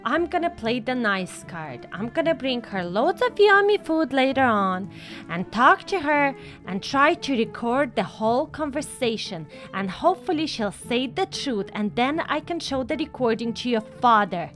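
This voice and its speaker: Very high voice, swooping intonation, woman playing manipulative mother, emphatic, amateur role-play drama, music underneath. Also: sing-song, moderate pace, fairly bright tone, exaggerated voice. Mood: conspiratorial. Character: scheming, guilt-tripping mother hiding her crime.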